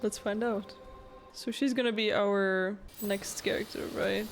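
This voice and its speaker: A woman's voice: mid-range voice, steady tone, unhurried. A woman giving casual gameplay commentary, informal and thinking aloud as she goes. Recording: game music behind the voice.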